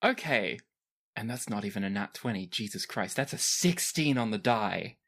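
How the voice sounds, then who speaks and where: A game master narrates a tabletop session in a casual, improvised way. The delivery is descriptive, with pauses for effect, and the pitch moves around in a lively way.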